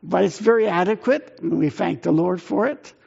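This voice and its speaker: Man raised speaking italian, missionary, earnest and halting, slightly high voice, gravelly, dull recording. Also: a little mellow. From Italy. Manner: earnest, halting with many fillers. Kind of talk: missionary talk to a church.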